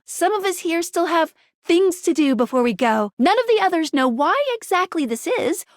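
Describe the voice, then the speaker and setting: High, perky, geeky game girl. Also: no room sound, clean studio sound. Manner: peppy, quirky, slangy, stammers when flustered. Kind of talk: animated video game character dialogue.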